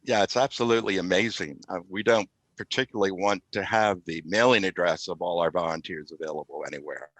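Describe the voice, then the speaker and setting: A man giving a measured, halting technical explanation as a podcast guest. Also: sits low, a bit bright, dry recording, rough recording. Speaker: IT specialist and consultant, guest. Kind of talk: explanatory product talk on a podcast.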